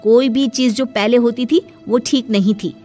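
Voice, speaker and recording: Woman doing a bossy young daughter-in-law, high voice, pointed and complaining, cartoon story, music behind. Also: fairly even intonation, moderate pace, mellow, close to an everyday voice. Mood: defiant. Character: sharp, impatient young daughter-in-law scheming to rule house. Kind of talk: Hindi cartoon moral story dialogue.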